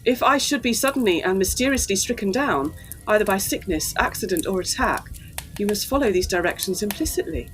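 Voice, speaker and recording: A woman's voice: medium pitch, expressive, fairly quick, soft background music, close to an everyday voice, rough recording. A woman playing an anxious, well-bred young Edwardian daughter, polite and earnest, in a gothic audio drama. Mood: solemn reading.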